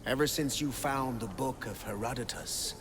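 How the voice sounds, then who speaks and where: A man's voice: medium pitch, moderate pace, background noise, close to an everyday voice. A man playing a cold, relentless middle-aged male villain in a video game, speaking with theatrical, condescending menace. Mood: cold, accusing.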